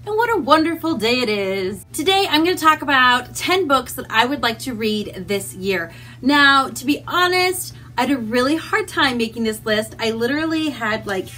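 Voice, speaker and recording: Woman, very bright voice, slightly high, casual book vlog, animated rambling delivery, faint music behind. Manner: animated, rambling, self-deprecating asides. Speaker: book vlogger, host. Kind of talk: casual chatty vlog to camera.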